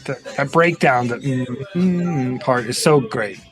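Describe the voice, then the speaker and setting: A man's voice: mid-range voice, a little slow. A middle-aged man talking casually about music as a podcast host.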